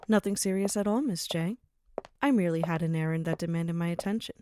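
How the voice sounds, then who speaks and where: A woman playing a poised, gracious yet dangerous mafia matriarch in an audio roleplay, soft-spoken, formal and composed. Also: fairly low pitch, normal speed, a little hiss or hum, lightly acted. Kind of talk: scripted audio roleplay acting.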